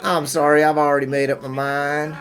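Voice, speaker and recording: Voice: medium pitch, level tone, fairly quick, a bit bright, faint music behind, lightly acted. An actor playing a timid, whiny, emotional young male farmer in a cartoon fan dub, plaintive and hesitant. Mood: resigned, glum.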